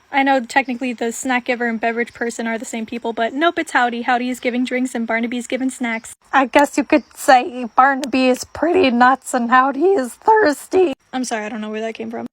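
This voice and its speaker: A woman's voice: sits high, no echo. A young woman giving casual, improvised commentary in a giggly, slangy way, as a video creator.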